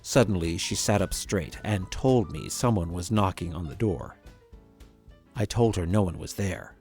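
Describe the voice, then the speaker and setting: A man narrating a scripted horror story in the first person as a haunted grandson, with measured, dramatic delivery and deliberate pauses. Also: sits low, expressive, a little mellow. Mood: somber, uneasy. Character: haunted grown grandson recounting a frightening visit. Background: music.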